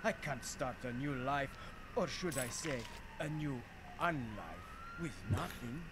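An actor playing an urbane, sardonic vampire doctor in an audio drama, formal and theatrical with mocking politeness. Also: male voice, medium pitch, expressive, takes it slowly, noisy background. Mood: sly, wistful.

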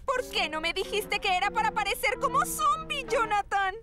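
A woman's voice: very high voice, expressive, moderate pace. A woman playing a vain, whiny young model diva in a cartoon dub, melodramatic. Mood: outraged, whiny. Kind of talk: animated children's cartoon dub.

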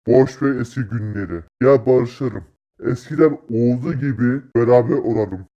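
A man playing a laid-back, blunt cartoon character who speaks in a terse deadpan, in a muffled recording. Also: medium pitch, moderate pace, lightly acted. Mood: conciliatory. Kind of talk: comic cartoon dialogue.

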